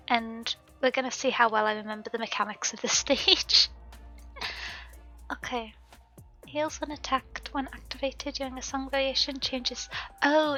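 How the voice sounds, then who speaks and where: A softly spoken woman streaming a game live, chatting casually with viewers, her voice slightly hoarse and sore. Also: on the high side, low-quality recording. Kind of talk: casual live-stream chat while gaming. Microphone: desk microphone at home. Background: faint background music.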